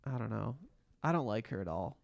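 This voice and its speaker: A man's voice: fairly low pitch, animated, fairly quick, gravelly, a little mellow, no echo, studio quality. A man co-hosting a podcast, chatting informally and bluntly in a slangy recap of a reality show. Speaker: podcast co-host. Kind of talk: casual reality-TV recap chat.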